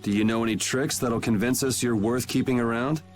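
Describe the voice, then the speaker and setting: A man's voice: mid-range voice, steady tone, brisk, close to an everyday voice. A man voicing a cool, sardonic young man in a video game, with a dry, laid-back wit. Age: young adult. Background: game music.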